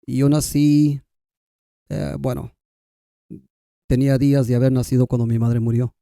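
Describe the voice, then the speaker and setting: A man's voice: medium pitch, steady tone, unhurried, vocal fry, mellow, no echo, studio quality. A Guatemalan man, a native K'iche' speaker, telling his personal story conversationally as a podcast guest.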